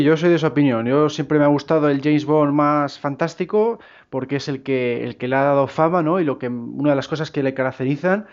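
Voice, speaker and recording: A man's voice: medium pitch, a little mellow. A man hosting a casual discussion, putting questions to his guests and acknowledging them. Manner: asks guests questions, frequent acknowledging murmurs. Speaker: debate host. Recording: muffled lo-fi audio.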